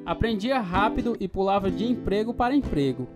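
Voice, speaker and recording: A man's voice: sits high, expressive, fairly quick. Troubled man narrating over guitar music. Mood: somber, reflective. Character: fearful, troubled man recalling his impulsive youth. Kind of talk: dramatized first-person story narration. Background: plucked guitar music.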